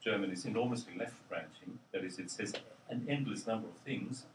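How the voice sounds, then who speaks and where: An older man, a linguist, answering interview questions in a halting, thoughtful way with many pauses and ums. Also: lowish voice, a little hiss or hum. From Australia. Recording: roomy, distant mic with faint ambience.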